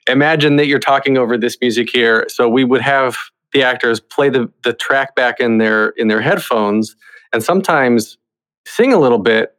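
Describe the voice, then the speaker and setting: Man, medium pitch, casual interview chat, conversational, theatre creative. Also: moderate pace, fairly bright tone, rough recording.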